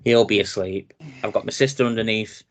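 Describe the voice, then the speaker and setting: A man in his thirties with a very deep voice, co-hosting a casual wrestling chat podcast. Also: brisk. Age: 30s. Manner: relaxed, chatty, laughs easily. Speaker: podcast co-host.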